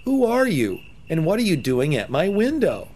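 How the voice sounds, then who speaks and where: A man voicing an excited young boy in a children's storybook, asking eager, wide-eyed questions. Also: a little high, normal speed, a little hiss or hum. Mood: puzzled. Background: music.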